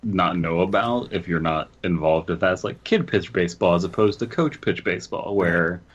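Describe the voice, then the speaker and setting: A man with a lowish voice hosts a comedic podcast. His talk is casual, joking and somewhat halting. The recording sounds a little dull and lo-fi. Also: breathy edge. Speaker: podcast host. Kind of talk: casual comedic chat, reading stories aloud. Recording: slightly dull, lo-fi microphone sound.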